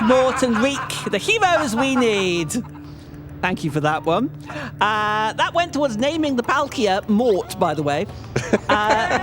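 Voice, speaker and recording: A woman hosting a live event casually, reading out donation messages. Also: a little high. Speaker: marathon host reading donations. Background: video game music.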